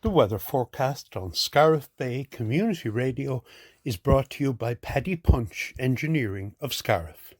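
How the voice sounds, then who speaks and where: A man's voice: mid-range voice, expressive, moderate pace, dry recording. An Irish man reading a scripted weather forecast for community radio.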